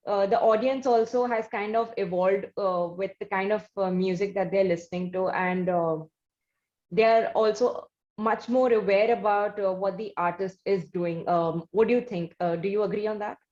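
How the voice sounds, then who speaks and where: A woman with an Indian accent hosting a conversational interview in halting phrases with many pauses, on a dull recording. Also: mid-range voice, fairly even intonation, a little mellow. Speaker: interviewer, show host. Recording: dull, lo-fi sound.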